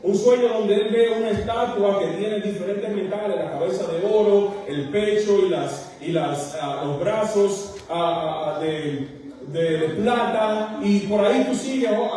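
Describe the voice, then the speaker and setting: A man with a Dominican accent delivers a sermon in a high, mellow voice. He speaks emphatically but often stops to correct himself. The sound is heavily echoing, with the long reverb of a large hall. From Dominican Republic, partly raised in Queens, New York. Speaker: pastor, preacher. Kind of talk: church sermon. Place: church sanctuary, speaking to congregation. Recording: very echoey large hall, distant microphone.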